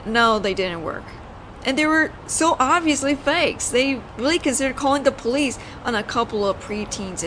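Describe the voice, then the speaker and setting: A woman's voice: a little high, close to an everyday voice. A woman playing a flirtatious, teasing mafia boss in an audio roleplay, talking in a playful, conversational storytelling way. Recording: noisy outdoor city ambience, traffic.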